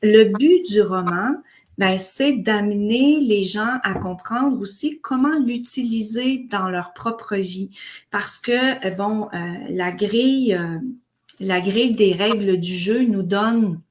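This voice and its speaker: A woman's voice: mid-range voice, slow pace. A woman with a Québécois French accent, a guest chatting live about a game she co-created, hesitant with many fillers. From Québec. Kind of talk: casual live conversation.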